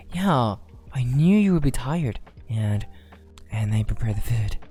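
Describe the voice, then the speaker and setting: Man playing a slow, mellow, tender werewolf boyfriend. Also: medium pitch, expressive, husky, soft background music. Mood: gentle, caring. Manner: hesitant, affectionate, soft-spoken, often chuckling. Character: shy, tender male werewolf boyfriend, young adult. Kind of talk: soft romantic ASMR roleplay.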